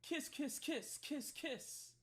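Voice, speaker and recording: A woman informally reading a chat log out loud, play-acting the lines with mock sweetness. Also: high-pitched, slow. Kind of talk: informal read-aloud of a chat log with asides.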